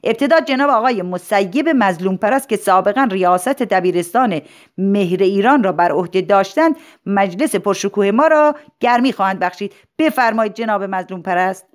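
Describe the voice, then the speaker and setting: Woman acting pompous, loudly declaiming male school principal. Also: mid-range voice, expressive, voice pushed up, close to an everyday voice. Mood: ceremonious, formal. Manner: formal, declamatory, ceremonious.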